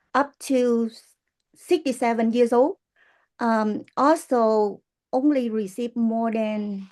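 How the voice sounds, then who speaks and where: A woman in her sixties with a Vietnamese accent giving a prepared testimonial in halting, earnest English. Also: a little high, darkish tone. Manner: halting, earnest, non-native English with pauses. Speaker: guest speaker, business distributor.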